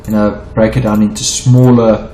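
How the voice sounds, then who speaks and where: A low-pitched South African man with Afrikaans as his mother tongue, talking through a chart lesson in a loose, halting way with restarts and repeats. He sounds like he's in an echoey room, with a faint hiss behind him. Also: little pitch movement, dark tone. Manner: stumbling, repeats himself while explaining. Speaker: forex trading teacher. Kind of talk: unedited screen-share chart walkthrough.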